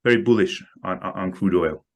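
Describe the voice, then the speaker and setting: A man with a very deep, low voice talks through his views as a guest in a relaxed interview. He speaks haltingly, tripping over repeated words and restarting sentences. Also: creaky. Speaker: guest market analyst. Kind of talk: casual interview.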